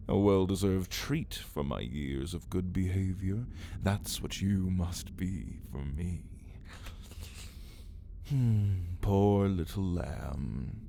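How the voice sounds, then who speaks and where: An ancient male vampire acted out by a man speaks extremely slowly in a low, breathy voice that slips into whispers. He is a refined, hungry, fallen ruler in an intimate ASMR roleplay, menacing and theatrical, with faint noise behind him. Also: warm tone, full character voice. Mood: predatory, savoring. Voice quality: breathy, often dropping into a whisper. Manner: theatrical, archaic phrasing, menacing, drawn-out and whispery. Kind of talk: ASMR roleplay monologue spoken to the listener.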